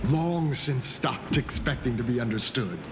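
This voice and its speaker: Guy doing a smug game villain, slow and mock polite, swooping delivery, big echoey reverb. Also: mid-range voice, background noise, lightly acted. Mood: scornful. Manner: drawling, mock-polite, theatrical pauses. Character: smug, courteously menacing male leader of masked assassins. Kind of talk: video game voice acting.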